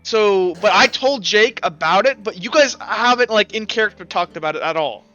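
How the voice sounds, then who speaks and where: A man's voice: sits high, expressive, moderate pace, pushed and bright. A man running a tabletop roleplaying game as game master, explaining things emphatically in casual talk over a lo-fi recording. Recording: dull lo-fi recording with music underneath. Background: music.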